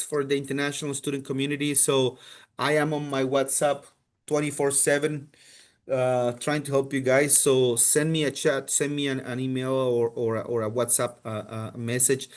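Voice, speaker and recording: A man from Colombia, a university representative, answering questions on a video call, with many restarts and fillers. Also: medium pitch. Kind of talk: casual answers in a virtual info session.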